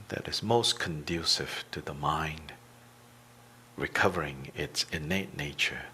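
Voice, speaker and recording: Male meditation teacher, very deep pitch, bright forceful tone, deliberate phrasing, long pauses, faint noise behind. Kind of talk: guided meditation instruction.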